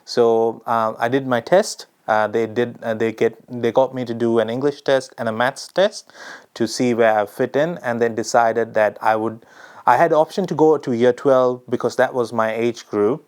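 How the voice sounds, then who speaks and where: A young man from Sri Lanka talks to camera about his own path abroad. His voice is smooth and clear at a middle pitch. He speaks in a relaxed, chatty way, dropping in plenty of ums and uhs. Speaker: immigration advice vlogger. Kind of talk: casual talk to camera.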